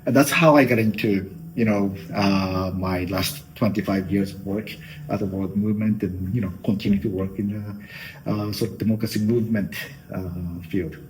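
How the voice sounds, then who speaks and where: A middle-aged Japanese man with a low voice, answering interview questions in a considered way and often pausing on "you know" as he works through his thoughts. His English carries a clear Japanese accent. Faint noise sits behind the recording. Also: fairly even intonation, low-quality recording. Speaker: guest.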